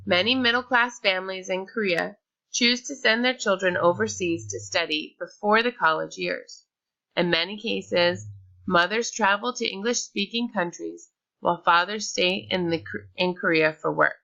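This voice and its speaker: A woman's voice: medium pitch, moderate pace, vocal fry, bright, forceful tone, dull audio. A woman reading a scripted slide presentation aloud, with small slips and restarts.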